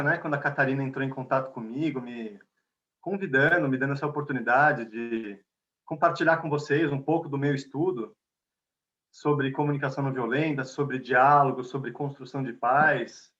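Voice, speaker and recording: A man from Bahia with a São Paulo accent, giving an online talk as a conflict mediator, unhurried and friendly, often adding "né" and "tá". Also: medium pitch. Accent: São Paulo Portuguese. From Bahia, Brazil. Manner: warm, unhurried, many "né" and "tá" tags. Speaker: invited speaker, conflict mediator. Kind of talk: online lecture. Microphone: video call. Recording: dull lo-fi video call audio.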